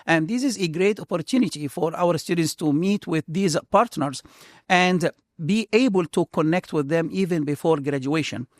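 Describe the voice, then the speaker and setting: A man from Egypt, a computer science professor in his middle years, answers interview questions earnestly, often restarting his phrases. His voice sits fairly high for a man. The recording is dry and close, with no room sound. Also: moderate pace, no room sound.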